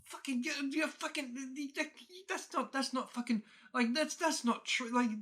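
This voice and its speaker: A man puts on an old-school father with a short fuse. The voice jumps high and sharp, spluttering indignant, sweary dismissals over and over in a scoffing, no-nonsense temper. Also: a little fast. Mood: exasperated, scoffing.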